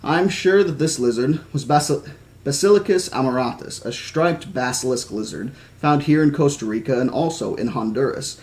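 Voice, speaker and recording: A man's voice: mid-range voice, normal speed, darkish tone, close to an everyday voice. A man voicing a friendly, confident American male field biologist in a storybook reading. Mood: confident, reassuring.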